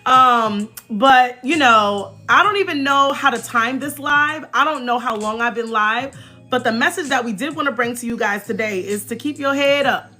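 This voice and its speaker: High, bright-voiced American woman chatting. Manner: animated, emphatic, informal slang. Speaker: livestream host. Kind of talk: casual chatty livestream. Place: small indoor room, backdrop behind. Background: faint music.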